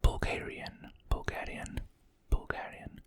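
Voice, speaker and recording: Asmr whisper, slow and soft, keeps repeating words, sorta sorry about pronouncing stuff. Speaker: ASMR creator.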